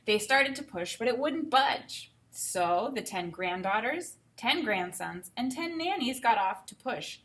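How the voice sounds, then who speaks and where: A woman teacher giving an expressive read-aloud for children, with enthusiastic explanatory asides. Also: fairly high pitch, measured pace, few pauses. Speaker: teacher reading a picture book aloud.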